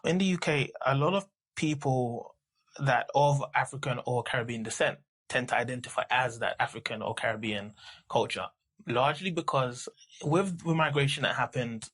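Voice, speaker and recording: A man in his thirties from the UK, a podcast guest talking casually and haltingly, with many restarts and fillers. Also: medium pitch, fairly bright tone, husky. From United Kingdom. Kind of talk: casual conversational interview.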